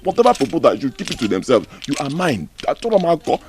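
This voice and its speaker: A pleading young prince. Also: male voice, a little high, very animated, fast. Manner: pleading, swings between hush and force.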